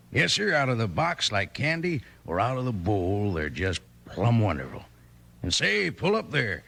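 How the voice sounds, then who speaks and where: An actor playing a jolly, folksy cowboy sidekick with an American Western drawl and a raspy voice, selling cereal in an old radio commercial. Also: male voice, on the low side, a bit bright, exaggerated voice. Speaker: cereal pitchman. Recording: vintage 1950s radio recording.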